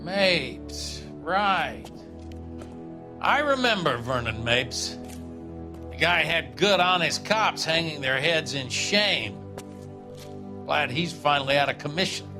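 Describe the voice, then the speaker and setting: Animated, blunt police captain. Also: male voice, on the high side, slow pace, pauses often. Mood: wry, approving. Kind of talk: dramatic video game acting. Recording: background music behind the voice.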